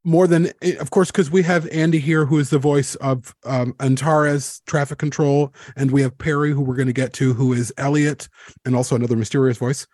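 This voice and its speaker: A man's voice: medium pitch, fairly even intonation, fairly quick, darkish tone, no echo, clean studio sound. A man hosting a casual roundtable, telling anecdotes in an informal, emphatic way. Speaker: podcast host.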